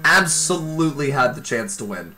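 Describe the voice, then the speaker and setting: A man giving excited live play-by-play commentary on a video game match, exclaiming often, while losing his voice. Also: on the high side, soft background music. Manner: excitable, exclaims often, talks fast in bursts. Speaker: esports commentator.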